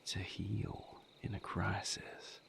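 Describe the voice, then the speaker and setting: A man playing a gentle, patient vampire healer in an intimate audio roleplay, speaking softly and reassuringly in breathy vocal fry with long pauses. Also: lowish voice, flat delivery, a little hiss or hum, full character voice. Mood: calm, reflective. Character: gentle, patient male vampire healer mentoring his mate.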